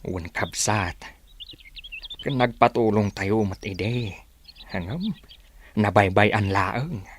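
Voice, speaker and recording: A man's voice: lowish voice, expressive, takes it slowly, pauses often, slight background noise. A man with an Ilocano accent playing a troubled, resigned villager who sighs and agrees, in a radio drama.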